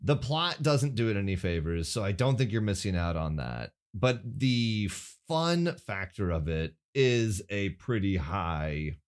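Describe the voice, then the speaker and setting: A man co-hosting a podcast, talking about a movie in an animated, giggly way. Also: lowish voice. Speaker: podcast co-host. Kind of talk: casual movie review chat.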